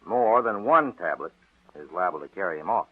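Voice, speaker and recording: A man's voice: low-pitched, lively intonation, brisk, slightly rough. A fretful fatherly doctor. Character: fussy, worried middle-aged family doctor. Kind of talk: 1940s radio acting. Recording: tinny old radio broadcast.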